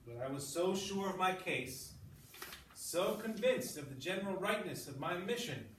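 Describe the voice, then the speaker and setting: A man's voice: high-pitched, animated, normal speed, fairly bright tone. A man teaching sixth-grade English, reading aloud and explaining as he goes, often checking in with short questions. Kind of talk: classroom read-aloud with running commentary and questions to pupils. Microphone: at some distance from the speaker. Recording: roomy, distant mic with background noise.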